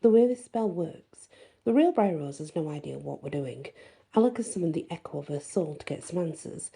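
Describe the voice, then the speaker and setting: A woman's voice: sits low, lively intonation, a little fast, gravelly, warm tone, breathy edge. A woman storyteller reading scripted first-person fantasy narration in an ASMR style.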